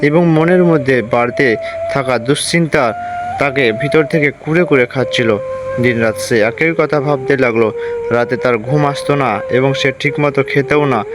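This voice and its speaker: Male cartoon storyteller over loud music. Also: mid-range voice. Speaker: narrator. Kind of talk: scripted storytelling narration for a cartoon.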